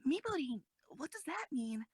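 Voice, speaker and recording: Woman as an excitable, high-pitched schoolgirl. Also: moderate pace, dry recording, clean studio sound. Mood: puzzled. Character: excitable, easily alarmed teenage girl tank crew member. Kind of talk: amateur audio drama acting.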